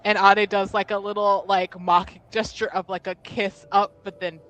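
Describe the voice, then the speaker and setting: A woman's voice: medium pitch, level tone, moderate pace, fairly bright tone, muffled recording. A woman player speaking casually in character in a tabletop roleplaying game, teasing and wry.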